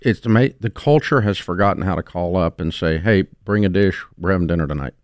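A plainspoken man hosting a talk show, blunt in how he puts things. His voice sits very low. The recording is dry and close. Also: a little fast, dry recording. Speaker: radio show host. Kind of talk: casual talk show conversation.

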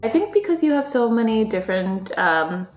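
A woman's voice: a little high, very animated, moderate pace, darkish tone. Chatty woman in a roomy space. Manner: chatty, with fillers and laughs. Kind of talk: casual recollection. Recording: roomy sound, faint music.

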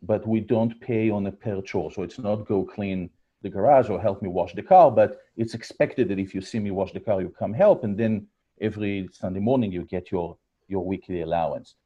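A man's voice: lowish voice, normal speed, slightly rough, highs cut off. A man in his forties with an Israeli accent, a podcast guest telling stories about his family, with many fillers and restarts. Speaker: guest, startup founder. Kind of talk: podcast interview.

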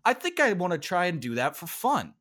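A man's voice: high-pitched, wildly swooping pitch, brisk, fairly bright tone. A man hosting a sports podcast, talking bluntly and with stern emphasis. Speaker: podcast host.

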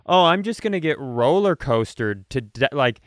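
A man hosting a comedy podcast in casual chat, spinning hypothetical riffs as an animated storyteller who acts out imagined quotes and swears freely. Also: mid-range voice, moderate pace, slight background noise.

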